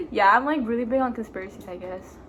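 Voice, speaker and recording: A woman's voice: on the high side, noisy background. A young woman with a Spanish accent, a native Spanish speaker, chatting casually as a guest. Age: young adult. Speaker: guest, best friend of the host. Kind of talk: casual chat.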